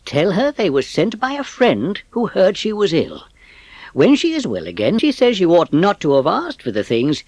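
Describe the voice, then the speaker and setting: An actor playing a kindly, courteous old gentleman in a dramatised audiobook, with an avuncular, expressive manner. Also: high-pitched, normal speed, no room sound, full character voice. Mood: warm, gracious.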